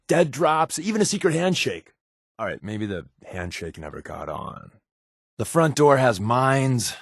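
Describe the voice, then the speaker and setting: An actor playing a wisecracking, sardonic spy companion in a video game, with casual scripted dialogue. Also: male voice, mid-range voice, no room sound, clean studio sound. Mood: playful briefing. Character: wry, joking male spy companion with dry swagger.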